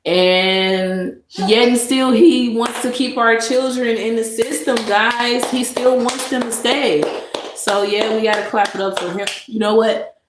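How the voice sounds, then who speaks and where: A woman vlogger delivering a sarcastic monologue of mock praise, with drawn-out emphasis. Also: on the high side, steady tone. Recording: echoey room with noise.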